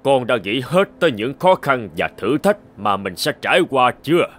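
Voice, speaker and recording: Venerable lord of gods, grave, dignified, paternal, animated legend delivery. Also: male voice, mid-range voice, moderate pace, faint music behind. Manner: stately, cautioning. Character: grave, fatherly old supreme king of gods. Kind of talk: animated myth acting.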